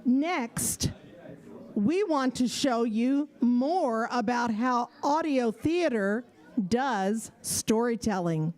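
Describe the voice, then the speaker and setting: A woman with a very high, swooping voice co-presents a scripted show for children. She speaks slowly, with playful, theatrical questions. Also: lively intonation, a little mellow. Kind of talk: scripted stage patter for children. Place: live event at a public library.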